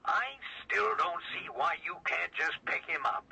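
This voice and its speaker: A man playing a persuasive American prosecutor over the telephone in a radio drama, speaking haltingly and coaxingly. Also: a little high, gravelly, dull audio, background noise. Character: coaxing male prosecutor pressing a sheriff. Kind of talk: 1980s radio drama acting.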